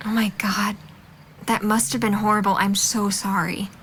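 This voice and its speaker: A woman's voice: medium pitch, little pitch movement, moderate pace, a bit bright, a little hiss or hum, close to an everyday voice. A woman acting a kind, encouraging young friend in an audio drama, speaking with patient, sincere reassurance. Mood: concerned.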